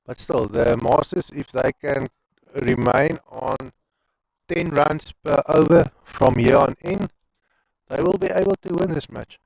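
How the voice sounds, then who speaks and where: A man's voice: a little high, unhurried, vocal fry, darkish tone, dry recording, poor audio. A man with an Afrikaans accent giving chatty live commentary on an amateur cricket match, wandering into rambling asides between balls. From South Africa.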